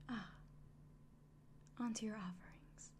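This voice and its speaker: A woman playing a kindly, fussing innkeeper in a fantasy ASMR roleplay, whispering gently and murmuring to the listener. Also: mid-range voice, fairly even intonation, takes it slowly, natural voice. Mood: attentive, fussing.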